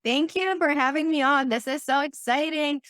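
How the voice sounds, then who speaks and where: Bubbly excitable woman from Romania. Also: high-pitched, normal speed, dry recording, studio quality. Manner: excitable, bubbly, laughs often. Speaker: podcast guest, business owner. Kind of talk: casual interview talk.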